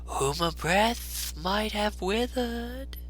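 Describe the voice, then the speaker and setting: Eerie, high, slow, gentle spirit. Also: male voice, expressive, faint music behind, exaggerated voice. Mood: solemn, wistful. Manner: very slow, measured delivery. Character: gentle, ethereal ghost spirit speaking slowly and softly.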